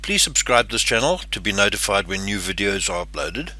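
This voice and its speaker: Older South African man, husky bright voice. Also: fairly low pitch, big pitch swings, fairly quick, rough recording. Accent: South African English. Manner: earnest, somewhat stiff delivery. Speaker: channel host. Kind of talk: scripted talk to camera.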